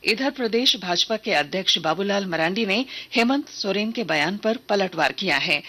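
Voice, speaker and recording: A woman's voice: mid-range voice, a bit bright, slightly rough. A woman news reader delivering a formal, scripted regional radio bulletin.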